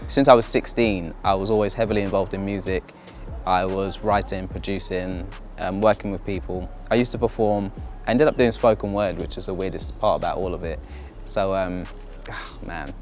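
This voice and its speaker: Thoughtful low-voiced man. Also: fairly even intonation, normal speed, darkish tone, faint music behind. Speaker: company staff. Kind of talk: casual reflective talk to camera.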